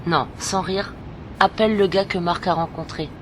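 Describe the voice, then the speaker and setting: A woman's voice: on the low side, moderate pace, noisy background, natural voice. A woman playing a frank, bossy but caring teenage best friend in an audio drama. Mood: encouraging. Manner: frank, scolding, familiar. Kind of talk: amateur audio drama acting.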